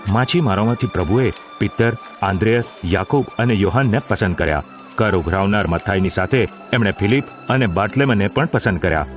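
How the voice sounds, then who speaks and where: A man's voice: on the low side, fairly quick. A man narrating a scripted audio drama in a formal, literary storytelling manner. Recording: music under the voice.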